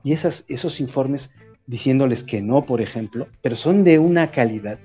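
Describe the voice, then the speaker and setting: A man with a Mexican accent talks through his ideas as an interviewed writer, pausing and restarting as he thinks. His voice is warm and dark in tone, at an ordinary middle pitch. Faint music sits low behind him. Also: slightly rough. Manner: reflective, halting, with frequent restarts. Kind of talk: conversational interview.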